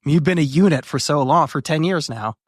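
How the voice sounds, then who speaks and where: A man's voice: on the high side, expressive, fast talker, slightly rough, no echo, clean studio sound. A man hosting a podcast, asking long rambling questions with restarts in a casual tone. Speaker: podcast host.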